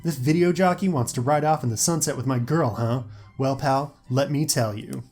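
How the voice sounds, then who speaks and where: A man's voice: medium pitch, normal speed, darkish tone, lightly acted. A man voicing a big, jealous, taunting ninth-grade bully in an audio story with music behind. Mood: menacing. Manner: taunting, menacing.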